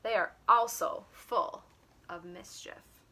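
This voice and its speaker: A woman reading a story aloud to young children, expressive, with simple explanatory asides. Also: lowish voice, slow pace, noisy background. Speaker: children's teacher and story reader.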